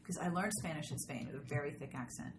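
An effusive woman chats as a podcast interviewer, her voice a little low. She talks casually and exclaims often, with room echo and some background noise around her. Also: quick pace.

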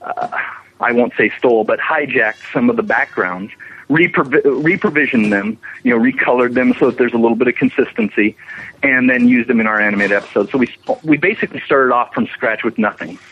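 A man's voice: medium pitch, fairly quick, slight background noise. Guy guest on a podcast interview, rambly and keen, explaining his work, muffled lo-fi audio. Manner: rambling, enthusiastic. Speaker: interview guest, visual effects animator. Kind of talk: casual explanatory interview talk. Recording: dull lo-fi, radio-like remote line.

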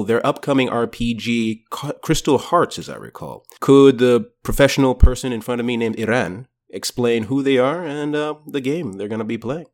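A man casually announcing and introducing things as a podcast host. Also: medium pitch.

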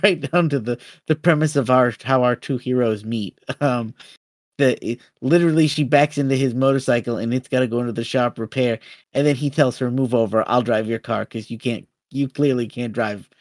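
A man's voice: medium pitch, moderate pace, no echo, studio quality. A man co-hosting a podcast, talking through films in a casual, rambling, conversational way. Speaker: podcast co-host. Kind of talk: casual film discussion.

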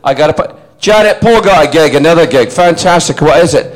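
A man voicing his elderly father, excitable and stubborn, loud and gruff. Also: on the high side, brisk, voice pushed up, natural voice, poor audio. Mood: thrilled. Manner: loud, gruff, repeats himself.

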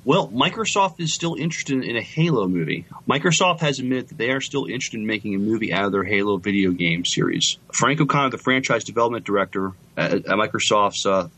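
A man co-hosting a podcast, chatting and reading news casually in a hesitant, halting way. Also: fairly low pitch, expressive, a bit bright, slightly rough. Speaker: podcast co-host.

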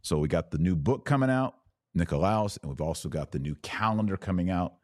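A man's voice: deep voice, animated, fairly quick, no room sound, studio quality. An American man hosting a podcast, giving casual religious commentary and answering listeners in an emphatic, teacherly way. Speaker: podcast host.

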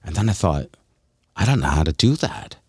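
A man's voice: low voice, sing-song, moderate pace, breathy edge, no echo, studio quality. A middle-aged or older man hosting a casual comedic podcast, telling stories in a teasing, self-deprecating way. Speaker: radio show host.

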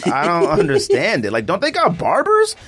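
A man co-hosting a podcast, talking in a laid-back, slangy, joking way. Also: moderate pace. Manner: laid-back, slangy, jokey, pitch jumps when animated. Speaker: podcast co-host. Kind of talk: casual chat.

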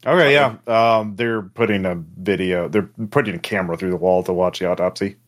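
A man's voice: low-pitched, fairly quick. A man speaking as game master, narrating a tabletop game in an improvised, conversational way.

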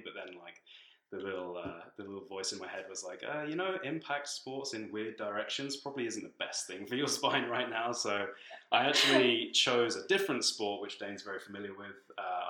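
A young British-born man raised in East Africa, chatting casually as a podcast guest about training, with a relaxed, filler-laden way of talking. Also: on the low side, echoey room, low-quality recording. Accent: British English, shifting from living abroad. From born in England, grew up in Tanzania. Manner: relaxed, chatty, many fillers, laughs between phrases. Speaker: podcast guest, strength coach. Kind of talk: casual conversational interview.

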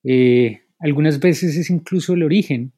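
A man's voice: fairly high pitch, measured pace, mellow, breathy edge, no room sound, studio quality. A man who runs writing workshops, speaking hesitantly with many fillers. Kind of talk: conversational interview answer.

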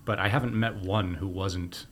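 A man with a fairly low voice hosts an interview podcast. He speaks conversationally to his guests from a sound studio. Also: steady tone, moderate pace, fairly bright tone, a little hiss or hum. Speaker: podcast host. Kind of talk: scripted intro and conversational interviewing.

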